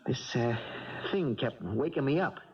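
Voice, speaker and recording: A man's voice: medium pitch, lively intonation, measured pace, natural voice. An actor playing a sleepless, frightened young male boxer in a 1970s radio drama, stammering and groping for words. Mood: uneasy, groping. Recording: dull 1970s radio recording.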